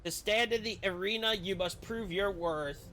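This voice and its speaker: A man's voice: sits high, moderate pace, pushed and bright, poor audio. A man voicing a haughty, wealthy old noble in a video game story, condescending and theatrical. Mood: coolly challenging.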